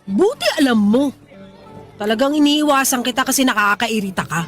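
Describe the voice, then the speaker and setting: A woman's voice: sits high, very animated, normal speed, soft background music. A woman acting a brusque, irritable tomboyish young woman in a radio drama, snapping curt, scornful retorts. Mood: annoyed.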